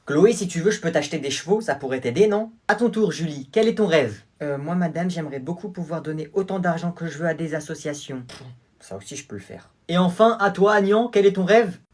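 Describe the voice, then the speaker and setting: A man's voice: a little high, brisk. A man performing comedy sketches in French, switching between playful characters. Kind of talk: comedy skit acting several roles.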